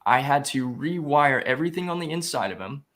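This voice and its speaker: Man telling an animated story. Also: medium pitch, a little fast. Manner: animated retelling with quoted dialogue. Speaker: guest, spiritual growth coach. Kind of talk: casual storytelling interview.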